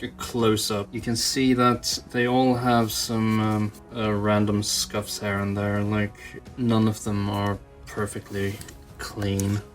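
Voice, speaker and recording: A man giving a casual hobby tutorial, thinking aloud informally as he works. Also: mid-range voice. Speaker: toy customizer presenting own channel.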